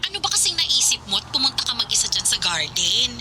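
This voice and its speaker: An actress playing a nosy, teasing close friend with a Filipino accent in a radio drama. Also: female voice, a little high, big pitch swings, fast, very noisy. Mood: curious, teasing. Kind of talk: Tagalog radio drama acting.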